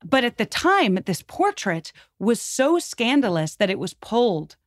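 A woman's voice: fairly high pitch, sing-song, unhurried, no echo, clean studio sound. A woman talking through history as a podcast guest, conversational and informal, with an enthusiastic, opinionated manner.

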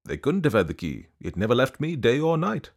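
A man's voice: medium pitch, sing-song, fairly quick, pitched above the performer's own voice. A man voicing a harried man in his thirties who speaks earnestly and emphatically, exasperated.

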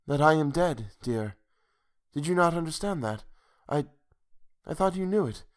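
A male reader performs a young ghost woman from a romantic storybook. She is tender and mournful, speaking high and hushed. Her words come haltingly, as a gentle plea to the one she loves. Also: animated. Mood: gentle, pleading.

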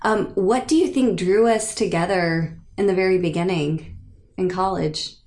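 A woman's voice: lowish voice, normal speed, darkish tone. A woman in her thirties hosting a podcast, leading a casual chat with friends in a chatty, easygoing way. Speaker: podcast host.

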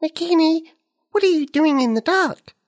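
A woman voicing a kind, helpful firefly in a children's story, with a bright, encouraging manner. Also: high voice, lively intonation, moderate pace, pitched above the performer's own voice.

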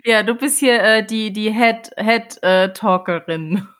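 A woman's voice: medium pitch, takes it slowly, a bit bright. A woman co-hosting a podcast in casual chat, often searching for words. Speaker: podcast co-host.

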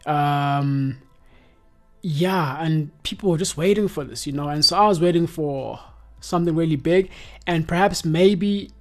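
A man's voice: a little high, measured pace, faint music behind. Young South African man chatting casually. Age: 20s. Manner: laid-back slangy speech with frequent "you know". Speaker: podcast host. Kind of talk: casual music review chat.

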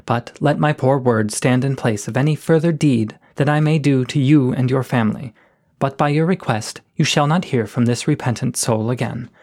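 Shy coyote suitor played by a man, mid-range voice, soft-spoken and self-effacing. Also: mid-range voice, natural voice. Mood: contrite. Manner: gentle, eloquent, self-effacing. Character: shy, poetic adult male coyote suitor. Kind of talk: scripted reading of letters.

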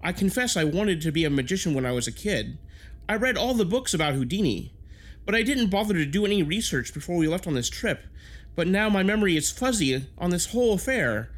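A man's voice: high voice, big pitch swings, a little fast. A man playing a sheepish, earnest time-travel team member in a sci-fi audio drama, with a rambling manner. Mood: sheepish, apologetic. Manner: apologetic, rambling.

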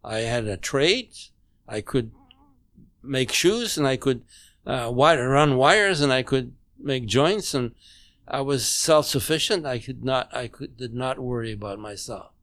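Guest, man in his 90s, German native, measured halting recall, mid-pitched and dry. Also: expressive, fairly bright tone, no echo. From Poland. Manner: halting, measured, with frequent restarts. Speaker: interview guest. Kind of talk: recounting memories in conversation. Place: home dining room.

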